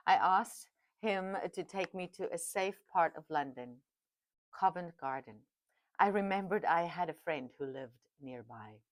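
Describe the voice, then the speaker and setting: A woman's voice: lowish voice, dry recording, studio quality. Reflective woman reading memoir. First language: Afrikaans. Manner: reflective, with chatty asides. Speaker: podcast host and author. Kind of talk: reading her own memoir aloud. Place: home dining room.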